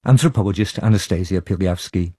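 A man's voice: deep voice, fairly quick, mellow, breathy edge, dry recording. A man presenting a radio documentary, moving between scripted narration and interviews on location.

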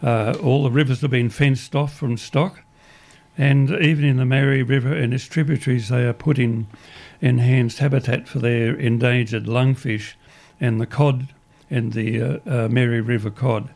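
An Australian man with a gravelly, croaky voice runs a community radio show. He rambles through announcements, often restarting his sentences, and sounds upbeat about good news. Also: mid-range voice, steady tone, normal speed, a little mellow.

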